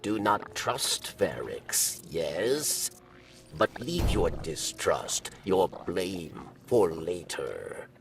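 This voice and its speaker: A man playing a solemn, wary alien exile in a video game, with a deep, gravelly voice and a slow, halting, grave delivery. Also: very animated, far from the mic, over music, full character voice. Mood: grave, urgent. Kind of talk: dramatic video game cutscene acting.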